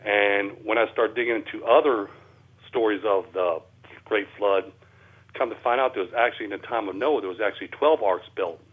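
An American man answering as an interview guest, plain-spoken and halting, over a narrow telephone line. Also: on the low side. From Cincinnati, Ohio, USA. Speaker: interview guest, amateur researcher.

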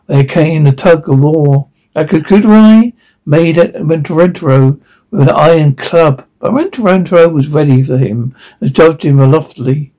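A man reading a fairy tale aloud in a halting way, sometimes stumbling and correcting himself. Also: fairly high pitch, mellow, rough recording. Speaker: story reader.

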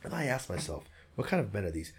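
A husky-voiced man talks quickly on the low side, firing off stories and opinions in a blunt, salty way. He leans hard into emphasis and swears freely as he makes his point in a relaxed group chat. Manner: blunt, profane, emphatic, animated. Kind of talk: casual chat, storytelling.

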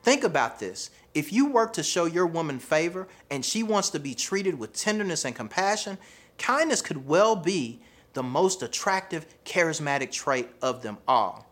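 Lively man with a Southern accent. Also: a little high, moderate pace. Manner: lively, addresses viewers as fam and y'all. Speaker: charisma coach. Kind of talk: scripted self-help video.

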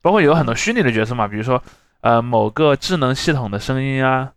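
Guy on a podcast talking quick, reflective, rewording stuff, very dry close sound. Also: medium pitch, lively intonation, clear, resonant tone, no room sound. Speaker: podcast host. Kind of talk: casual discussion.

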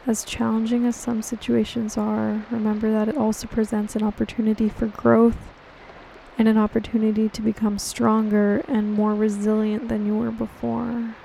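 Soft, even-toned woman guiding meditation. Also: on the high side, gravelly, a little hiss or hum, poor audio. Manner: calm, gentle, reassuring, unhurried. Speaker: meditation guide and host. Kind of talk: guided meditation, soothing scripted narration. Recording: steady rain on water behind voice. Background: rain falling on water.

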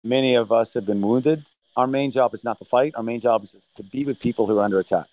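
A middle-aged man who grew up in Thailand, answering interview questions remotely in an earnest, measured way as the leader of a relief mission. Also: medium pitch, a little mellow, no echo, low-quality recording.

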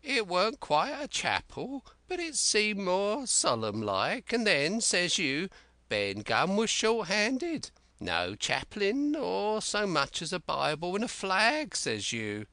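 A man reading an audiobook and voicing a rambling, excitable old castaway who speaks in rustic dialect. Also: sits high, takes it slowly, no room sound, pitched above the performer's own voice, full character voice. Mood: eager. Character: wild-eyed old male castaway, rambling and eager.

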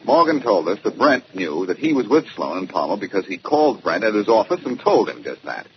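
Radio detective: cocky, animated intonation, middle pitch, muffled vintage recording with light hiss. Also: male voice, fairly quick. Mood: explaining, wry. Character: confident, wisecracking amateur detective. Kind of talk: 1940s radio crime drama acting.

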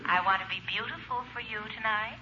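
An actress playing a coy, flirtatious sweetheart with a honeyed drawn-out delivery in a 1950s radio comedy phone call. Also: female voice, fairly high pitch, lightly acted. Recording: muffled, slightly noisy old radio phone voice.

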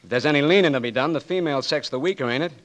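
A man's voice: fairly high pitch, racing, natural voice. A man playing a cocky blinded young Marine. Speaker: patient. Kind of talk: 1940s radio acting.

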